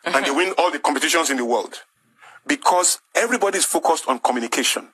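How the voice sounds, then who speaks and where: A man's voice: a little high, animated, fast, fairly bright tone, breathy edge. A man with a Nigerian English accent making an impassioned talk-show argument, emphatic and rhetorical, repeating his key phrases. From southwest Nigeria.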